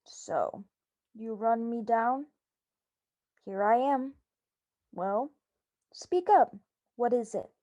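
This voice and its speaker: A woman playing a gruff, hard-drinking old pirate captain in a radio drama, terse and halting. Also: on the high side, dark tone, muffled recording. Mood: wary, challenging.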